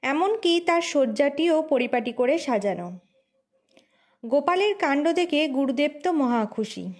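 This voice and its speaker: A woman's voice: high voice, lively intonation, a little slow, a little mellow. A woman hosting a story channel, reading a scripted tale as its narrator.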